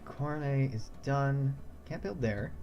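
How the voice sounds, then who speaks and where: A man's voice: mid-range voice, slow pace, highs cut off, soft background music. Chuckling young man playing a game. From United States. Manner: thinks aloud, chuckles often. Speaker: game streamer. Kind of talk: casual let's-play commentary.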